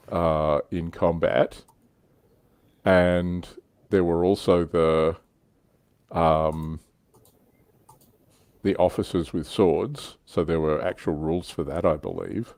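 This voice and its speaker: Low-voiced man, dry close sound. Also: a little mellow, no echo. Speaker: livestream host. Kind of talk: casual panel discussion of tabletop wargaming. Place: indoors, online livestream.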